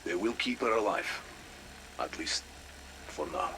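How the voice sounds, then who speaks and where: A man's voice: on the low side, expressive, a little slow, pushed and bright, a little hiss or hum, heavily acted, poor audio. A man playing a wary, grim secret informant in a video game, speaking in a terse, guarded, ominous way. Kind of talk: video game spy drama acting.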